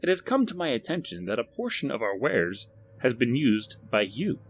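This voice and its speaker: A man voicing a small, sinister formal gentleman in an audiobook, measured and menacing. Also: medium pitch, sing-song, dull audio, slight background noise, pitched above the performer's own voice. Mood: polite. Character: Mister Contragust, little sinister formal gentleman, cold and exacting. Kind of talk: audiobook character acting.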